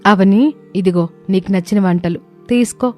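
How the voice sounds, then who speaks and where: A woman acts as a kind, forgiving young village wife in a storybook drama. The voice is soft-edged and mellow, with earnest, gentle phrasing over faint music. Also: medium pitch, moderate pace, natural voice. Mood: kindly, reassuring. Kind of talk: acted moral story dialogue.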